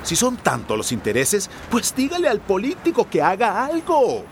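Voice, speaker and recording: Cocky smuggler, lively high-pitched delivery. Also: male voice, moderate pace, full character voice. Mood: irritated. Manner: cocky, streetwise, colloquial. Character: brash, cynical male people smuggler, sure of himself. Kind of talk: radio drama acting. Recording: noisy outdoor street ambience with traffic.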